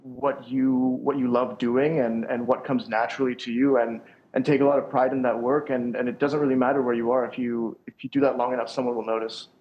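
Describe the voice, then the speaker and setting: A man's voice: mid-range voice, flat delivery, a little fast, dull audio. A young man, a sound engineer, answering interview questions over a call in a thoughtful, rambling way with many restarts.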